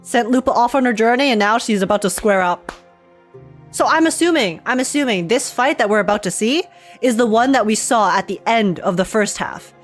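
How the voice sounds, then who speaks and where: A woman giving excitable, slangy, casual commentary as she streams and reacts to a video game's story. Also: fairly high pitch, fairly quick, faint music behind. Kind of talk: casual gaming livestream commentary.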